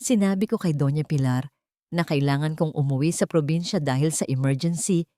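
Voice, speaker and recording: Filipino woman narrator for audio drama: lowish pitch, dry intimate recording, scripted first-person storytelling. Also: brisk, mellow, dry recording.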